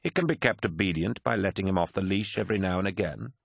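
A man's voice: low voice, fast talker, creaky, husky, no echo, full character voice. A smug warrior chaplain with a fanatical streak, performed by a male reader. The audiobook delivery is sly and intense, recorded with a very dry, close sound. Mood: sly, calm. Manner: smug calm that turns fervent. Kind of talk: dramatic audiobook character acting.

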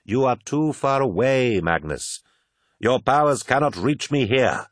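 A man voicing a sly, sneering warrior priest of dark sorcery in an audiobook, a persuasive tempter with a deliberate, insinuating manner. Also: mid-range voice, dry recording, studio quality, voice pushed up, lightly acted. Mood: mocking.

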